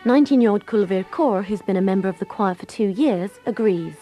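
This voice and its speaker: A woman's voice: mid-range voice, fairly quick, warm tone, soft background music. A woman presenting a radio documentary, reading scripted narration.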